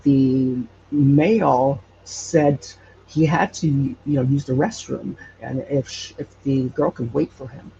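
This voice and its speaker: A man from Hawaii telling stories casually as an interview guest, halting and searching for words as he goes. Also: medium pitch, steady tone, creaky, mellow. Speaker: podcast guest telling local legends. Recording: dull lo-fi audio, faint noise.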